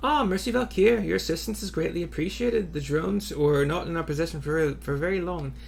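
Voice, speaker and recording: A man voicing a formal, earnest female military lieutenant giving a measured briefing in a fantasy video game. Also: on the high side, vocal fry, a little mellow, slight background noise.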